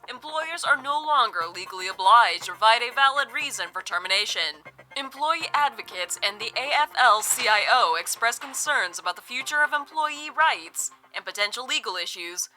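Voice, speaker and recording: A woman's voice: fairly high pitch, sharp tone, poor audio. A woman playing a formal, clipped breaking-news anchor reading a bulletin in an audio drama.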